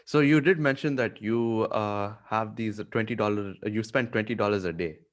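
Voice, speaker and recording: A man's voice: fairly low pitch, normal speed. A man hosting a podcast, asking casual questions with many fillers. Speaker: podcast host. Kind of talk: interview questions.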